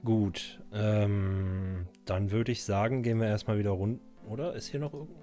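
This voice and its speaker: A man presents a game playthrough in a fairly low voice. He thinks aloud casually, murmuring short reactions and musing over what to do, with faint music behind him. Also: fairly even intonation, normal speed, dark tone. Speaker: Let's Play presenter.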